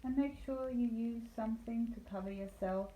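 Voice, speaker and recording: A woman leading a guided meditation as a yoga nidra instructor, speaking calmly and soothingly with deliberate pauses. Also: a little high. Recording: roomy echo, light background noise.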